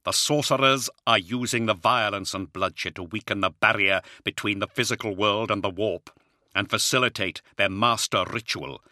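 A man voicing a commanding, grim warrior leader in an audiobook, giving curt, measured orders. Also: lowish voice, bright, forceful tone, dry recording, clean studio sound. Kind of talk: audiobook character acting.

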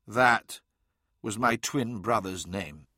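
A man's voice: fairly low pitch, slow, bright, forceful tone, dry recording, clean studio sound, pitched below the performer's own voice, heavily acted. A man voicing a proud, guarded warrior sorcerer in an audiobook, restrained and tense. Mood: tense, wounded. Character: proud, guarded male warrior sorcerer, tightly self-controlled.

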